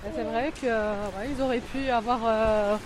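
A woman's voice: fairly high pitch, steady tone, normal speed. A woman answering casually and hesitantly as a street interviewee outdoors. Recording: noisy outdoor street, traffic.

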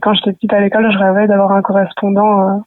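A woman's voice: mid-range voice, completely flat delivery, very fast, no echo, phone-quality sound. A woman in her twenties from Belgium, talking hesitantly with many fillers in a personal voice message. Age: 20s. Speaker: student sending a message.

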